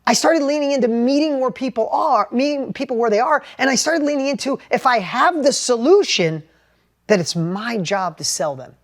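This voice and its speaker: A middle-aged man with a New York accent and a high-pitched voice, giving an animated, plainspoken motivational talk about sales. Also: normal speed. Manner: animated, plainspoken, repeats and restarts words. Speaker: entrepreneur and sales educator. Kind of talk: motivational talk with personal story.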